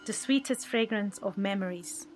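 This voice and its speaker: Female actor chorus poet, medium pitch, clipped declarative recitation, radio play, light music behind. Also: moderate pace, natural voice. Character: female inhabitant in a chorus of corridor poets.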